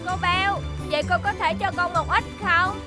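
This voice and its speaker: Very high, cheeky cartoon kid. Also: female voice, normal speed, over music. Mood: cheeky, pleading. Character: confident, cheeky, persuasive little child character. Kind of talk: Vietnamese cartoon dub.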